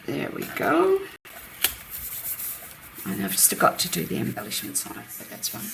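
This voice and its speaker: A woman hosting a card-making demonstration, chatting through each step with a croaky voice. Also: lowish voice, wildly swooping pitch, moderate pace, fairly bright tone. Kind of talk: casual craft demonstration. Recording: roomy, mic at a distance, faint background noise. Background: birds outside.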